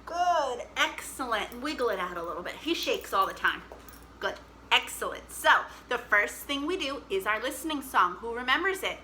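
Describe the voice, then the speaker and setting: A woman with a high, bright voice leads a storytime for young children. She is animated and encouraging, addressing the children directly in a playful way. There is clear background noise in the recording. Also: moderate pace. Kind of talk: interactive children's musical storytime.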